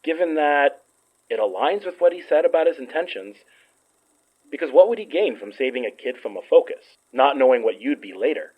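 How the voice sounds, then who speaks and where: A man's voice: on the high side, animated, a little fast, darkish tone, slightly rough, poor audio. A man acting in an audio drama as a sardonic, analytical character with a dry, skeptical delivery. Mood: reasoning.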